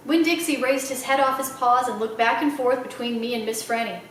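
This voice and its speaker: A woman reading a children's novel aloud as an expressive storyteller. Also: a little high, a little slow, slight background noise. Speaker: library read-aloud storyteller. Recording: very echoey room, slightly distant mic.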